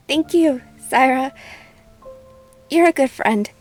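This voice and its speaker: Woman acting a high-voiced, slow-speaking vulnerable young woman. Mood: touched. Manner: hesitant, halting. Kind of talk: visual novel voice acting.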